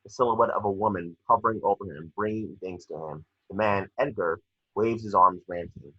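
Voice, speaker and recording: Low, husky man narrating a script. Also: moderate pace, no echo. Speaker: narrator reading action descriptions. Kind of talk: scripted narration in a film table read. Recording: dry, dull lo-fi home recording.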